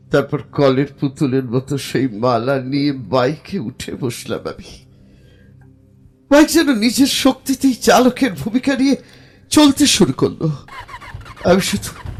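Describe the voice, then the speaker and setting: A man playing a haunted, distressed narrator of a first-person horror audio drama, halting and repeating his phrases. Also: a little high.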